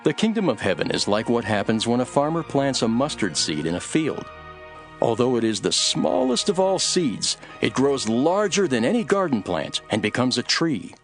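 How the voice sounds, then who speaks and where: A man playing a calm, authoritative teacher telling parables in a measured, solemn dramatized scripture reading. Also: medium pitch, soft background music. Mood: measured storytelling.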